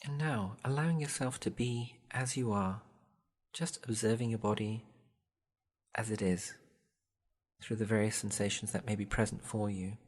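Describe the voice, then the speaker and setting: A man with a low-pitched, husky voice leads a guided body scan meditation. He speaks gently and soothingly, giving measured instructions and leaving long pauses between phrases. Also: steady tone.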